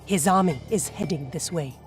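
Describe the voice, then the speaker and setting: A woman's voice: low voice, moderate pace, music in the background, close to an everyday voice. A woman playing a resolute, battle-ready warrior in a video game cutscene. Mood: grave.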